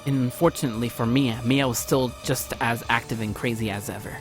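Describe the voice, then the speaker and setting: A man's voice: steady tone, a little fast. A man hosting a let's play, narrating a visual novel aloud theatrically and often stumbling over the text. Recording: music behind, low-quality home recording.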